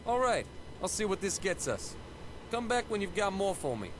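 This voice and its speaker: A man's voice: sits high, very animated, normal speed. An actor playing a callous, mercenary raider henchman in a video game, with slangy, offhand delivery. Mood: callous, obliging. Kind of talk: video game character dialogue. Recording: noisy game capture with ambience.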